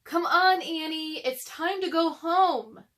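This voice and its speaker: A woman's voice: very high-pitched, slow pace, fairly bright tone, voice pushed up, exaggerated voice. A woman voicing a sensible, skeptical eight-year-old boy, an exasperated big brother in a children's storybook. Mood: exasperated calling. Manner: skeptical, calling out.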